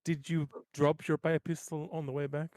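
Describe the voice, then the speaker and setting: A man's voice: on the high side, flat delivery, moderate pace, darkish tone. A man chatting casually as a player in a tabletop roleplaying game. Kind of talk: casual chat.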